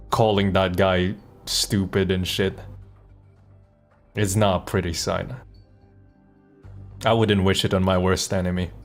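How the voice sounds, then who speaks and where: A man giving casual live game commentary as a streamer, talking in a halting, reflective way. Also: low voice. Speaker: streamer and voice actor. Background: game music.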